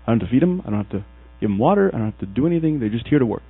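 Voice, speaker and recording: A man's voice: medium pitch, racing. Man from Egypt preaching over a tinny line. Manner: storytelling, animated, acts out quoted characters. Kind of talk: sermon. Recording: husky voice over a tinny, dry, phone-like recording with mains hum.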